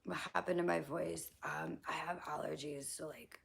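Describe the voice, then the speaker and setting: A young woman streaming live and reading chat, her voice low and heavily gravelly with a hoarse, scratchy throat. She talks bluntly and loosely, full of fillers and casual swearing, often slipping into a near-whisper. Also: steady tone, moderate pace, fairly bright tone. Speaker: livestreamer answering chat.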